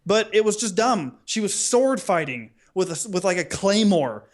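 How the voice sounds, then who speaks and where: A man with a notably high-pitched voice co-hosts a casual podcast. He rants comically, with an excitable, theatrical delivery that acts out reactions as he goes. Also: normal speed. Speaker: podcast co-host. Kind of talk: casual chat, comic ranting.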